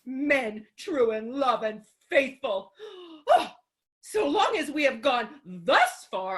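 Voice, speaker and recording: Woman playing a haughty lady, very high-pitched. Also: fairly bright tone, exaggerated voice. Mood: wistful, dramatic. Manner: prim, theatrical, drawn-out emphatic delivery. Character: indignant, haughty, dramatic young widowed lady. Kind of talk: staged reading of classic stage comedy.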